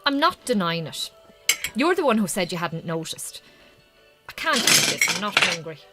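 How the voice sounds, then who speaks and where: An actress playing a suspicious, prickly Irish farmer's wife, with a sharp, sarcastic way of talking, in a naturalistic radio drama. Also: female voice, on the low side, big pitch swings, normal speed, loud music behind the voice. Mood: suspicious, hurt.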